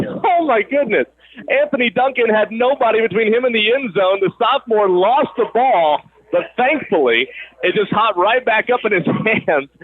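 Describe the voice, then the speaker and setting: A man doing live radio play-by-play of high school sports in a conversational announcer's style, on a broadcast mic. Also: phone-quality sound. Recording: band-limited radio broadcast sound, gym or field noise.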